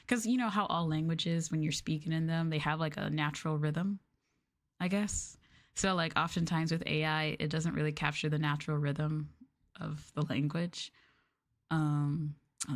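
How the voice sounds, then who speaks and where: A young Canadian woman streaming late-night chat into a close mic, her voice sitting a little low for a woman. She rambles in a relaxed, giggly way, full of fillers, and often makes fun of herself. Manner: rambling, giggly, self-deprecating, lots of "like" and "um". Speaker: VTuber streamer.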